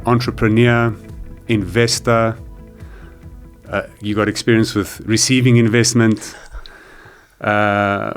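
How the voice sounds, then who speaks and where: A middle-aged man hosting a podcast interview, talking casually with many fillers and restarts. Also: fairly low pitch. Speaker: podcast host.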